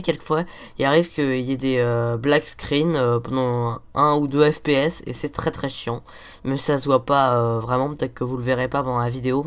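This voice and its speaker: A man's voice: mid-range voice, smooth. A teenage boy with a cold, hosting a casual let's-play and rambling on while swearing at the game. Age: teens. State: has a cold, stuffy. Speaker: gaming video host.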